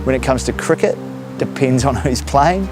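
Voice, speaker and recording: A man in his forties with a New Zealand accent talks in an easygoing, casual way. He is interviewed outdoors in a park, and music plays clearly underneath him. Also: medium pitch, animated, normal speed. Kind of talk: documentary interview.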